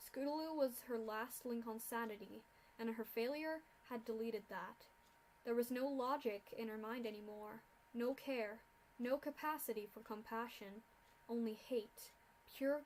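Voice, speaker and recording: Woman narrating grim fanfic, fairly high voice, steady pace, faint hiss behind. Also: low-quality recording. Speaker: fan fiction reader. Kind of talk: reading a dark fan fiction aloud.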